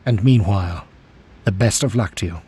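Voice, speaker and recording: An actor playing an affable, understated British major in a video game, clipped and gentlemanly. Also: male voice, fairly low pitch, moderate pace, husky. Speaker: escape officer. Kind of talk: video game cutscene acting.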